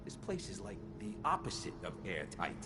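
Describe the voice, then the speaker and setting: A doubtful wanderer in a video game who questions everything with lively swings of pitch. His voice rings with a long echo over loud game music. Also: normal speed. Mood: skeptical. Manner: skeptical questioning.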